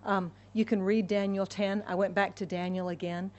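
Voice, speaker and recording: A woman's voice: mid-range voice, flat delivery, normal speed, a little mellow, highs cut off, slight background noise. A woman from the United States preaching a sermon, emphatic and repeating her key phrases for the congregation. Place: church in Hong Kong.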